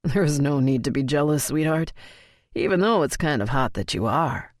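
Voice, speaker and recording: A woman's voice: sits low, animated, moderate pace, a little mellow, dry recording, studio quality. A woman voicing a cocky, teasing young man. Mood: tender teasing.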